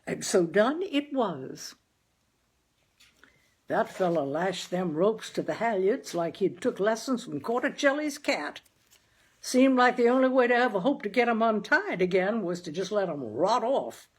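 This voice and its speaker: A woman voicing a chatty, practical and earnest New England mother with folksy turns of phrase and a husky tone, in a story reading. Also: mid-range voice, very animated, a little slow. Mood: chatty self-justifying. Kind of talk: audiobook character dialogue.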